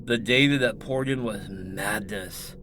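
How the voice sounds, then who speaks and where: A man's voice: fairly low pitch, unhurried, sharp tone, slight background noise. A man reading dramatic scripted science fiction narration as a storyteller.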